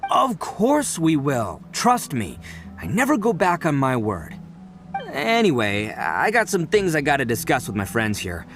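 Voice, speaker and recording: An actor playing a brash, boastful young gang leader in a game cutscene, loud and excitable. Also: male voice, unhurried, faint music behind, exaggerated voice. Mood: earnest. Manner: loud, excitable, big swings in pitch. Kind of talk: video game cutscene dub.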